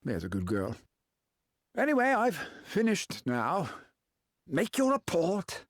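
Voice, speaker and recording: An actor playing a pompous, decadent game villain with a sneering, florid delivery. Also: male voice, high voice, huge pitch swings, takes it slowly, dry recording, clean studio sound, heavily acted. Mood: contemptuous, commanding. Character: pompous, decadent villain, bullying and self-indulgent. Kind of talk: dramatic video game voice acting.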